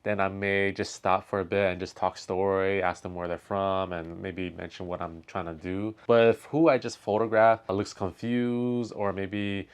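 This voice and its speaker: A man's voice: deep voice, little pitch movement, moderate pace, hardly any pauses, smooth. A man hosting a reaction video, thinking aloud in casual commentary and talking straight to the viewers. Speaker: reaction video host, street photographer.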